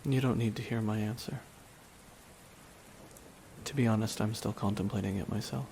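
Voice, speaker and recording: A man playing a brooding, remorseless villain in a dramatic ASMR roleplay, speaking in a hushed, deliberate way with long pauses. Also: on the low side, vocal fry, rough recording. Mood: pensive, probing. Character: brooding, remorseless male villain at his dying nemesis's side. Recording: steady rain noise behind the voice. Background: rain falling on a surface.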